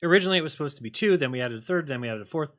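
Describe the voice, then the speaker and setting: A man's voice: on the high side, racing. A man hosting a call-in show, chatting casually with callers and making sarcastic jokes. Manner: animated, joking, sarcastic asides. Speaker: host.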